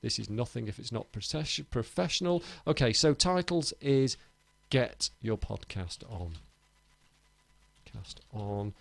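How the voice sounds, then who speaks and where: A man's voice: medium pitch, animated, measured pace, gravelly, dry recording. A man giving an informal screencast tutorial in a chirpy, emphatic, chatty presenter's manner. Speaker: podcast host giving a tutorial.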